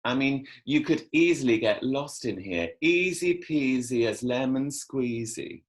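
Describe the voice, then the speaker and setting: A laid-back man played by an actor in a drama table read. He speaks slowly, with a teasing, playful, hinting manner. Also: mid-range voice, animated, close to an everyday voice. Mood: casual. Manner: teasing, casual. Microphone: video call.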